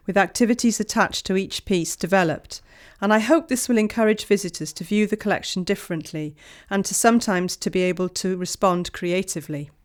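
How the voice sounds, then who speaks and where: Calm middle-aged woman from Wales narrating. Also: mid-range voice. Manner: calm, measured, reflective. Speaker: artist. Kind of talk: scripted voice-over narration.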